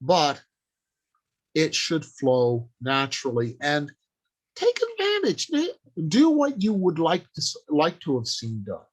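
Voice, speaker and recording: A man giving an instructional talk as a workshop speaker, deliberate and emphatic, speaking in short declarative phrases with pauses. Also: fairly high pitch, huge pitch swings, slightly rough.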